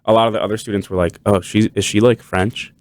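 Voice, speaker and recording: A young man from Puerto Rico hosts a casual podcast chat, his voice sitting a bit low. He rambles in long sentences, restarting often and leaning on "like" and "kinda." The recording is dry and close. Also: fairly even intonation, fast talker, no room sound. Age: young adult. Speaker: podcast host. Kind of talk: casual conversation.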